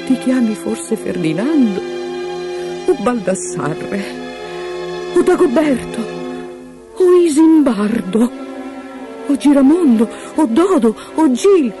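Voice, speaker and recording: A woman's voice: mid-range voice, animated, a little slow, music in the background, pitched above the performer's own voice, natural voice. A woman voicing an anxious young woman in a fairy tale, plaintive and pleading. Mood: anxious guessing. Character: anxious young woman, pleading miller's daughter turned queen. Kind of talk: fairy-tale storybook character voice.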